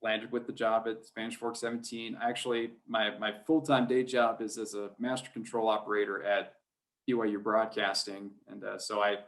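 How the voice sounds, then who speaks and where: A man speaking as a guest in a casual interview, telling things in an informal, animated way. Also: fairly low pitch, moderate pace. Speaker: guest, sports play-by-play announcer.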